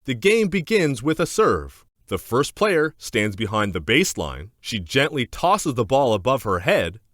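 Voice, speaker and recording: A man narrating a children's educational book aloud in a scripted, clear and deliberate instructional manner. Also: mid-range voice, big pitch swings, fairly bright tone, no room sound, studio quality.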